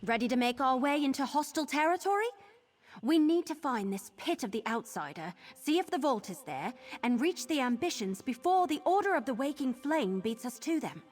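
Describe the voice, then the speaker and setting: An actress playing a brisk, wary adventuring companion in a video game, conversational and eager to get moving. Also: female voice, high-pitched, big pitch swings. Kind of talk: scripted video game dialogue. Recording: music behind the voice.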